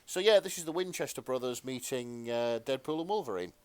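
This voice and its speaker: A man's voice: mid-range voice, expressive, brisk, darkish tone, clear, resonant tone, dry recording. A man co-hosting a podcast in casual conversation, with a dry, understated and slightly hesitant way of talking. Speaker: podcast co-host. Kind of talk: casual chat with read-aloud fan fiction.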